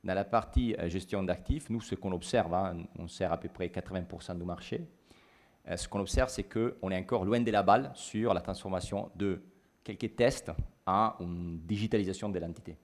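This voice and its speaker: A man in his forties speaks French with a clear Italian accent, his voice sitting very low. He talks through his points on a conference panel in a university lecture hall, thoughtful and plain, correcting himself as he goes. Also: normal speed.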